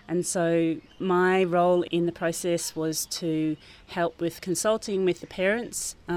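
Low-voiced New woman, earnest. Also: darkish tone. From New Zealand. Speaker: school garden teacher. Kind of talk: informal interview, reflective explaining. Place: outdoors, under a gazebo in a school garden.

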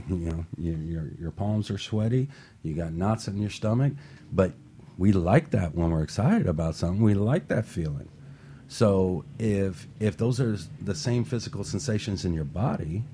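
Brief: low, mellow male radio host, upbeat motivational chat. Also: slight background noise. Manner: upbeat motivational, relaxed with fillers. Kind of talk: casual radio talk show chat. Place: radio studio.

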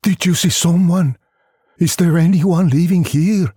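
A man playing a weary, bewildered husband and father in a story, questioning his wife in a husky, tired voice. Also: fairly high pitch, steady tone, unhurried, warm tone, no room sound, clean studio sound, pitched above the performer's own voice. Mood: bewildered, urgent.

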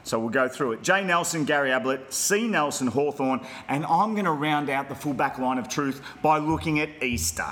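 A man's voice: a little high, normal speed, hardly any pauses, a bit bright. An Australian man hosting a bantering sports podcast in a teasing, mock-formal manner. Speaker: podcast host.

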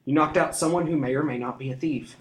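A man's voice: on the high side, fast talker, close to an everyday voice. A man voicing a wry young girl, the boy's sister, with a dry teasing tone, in a roomy room. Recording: roomy echo.

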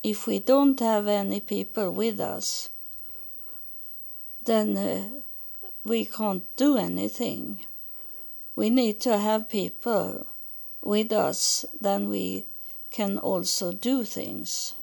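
A woman from Sweden with a Swedish accent, speaking English as a second language in a halting spiritual podcast monologue, searching for words. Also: mid-range voice, gravelly, a little mellow. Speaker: podcast host relaying spiritual messages.

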